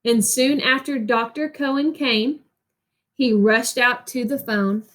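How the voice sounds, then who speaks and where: A woman reading a picture book aloud like a teacher, expressively, with explanatory asides and pauses for young listeners to answer. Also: a little high, steady tone, fairly bright tone.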